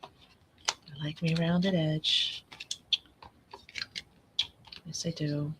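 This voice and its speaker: A woman with a raspy, allergy-worn voice, rambling and correcting herself as she talks through a live crafting tutorial at her desk. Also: sits low, flat delivery, extremely slow, background noise. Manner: chatty, rambling, self-correcting. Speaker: craft channel host.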